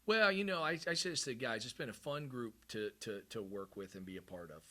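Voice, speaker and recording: A man's voice: mid-range voice, expressive, fairly quick, bright, forceful tone. A middle-aged man, a head coach, giving candid, rambling postgame press conference answers with frequent restarts.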